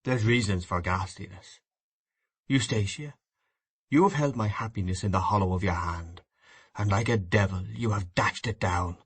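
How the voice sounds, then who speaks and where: A man's voice: lowish voice, moderate pace, husky, pitched below the performer's own voice. A man reading an audiobook voices an enraged, grief-stricken husband in a hard, accusing tone. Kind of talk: audiobook reading of a classic novel.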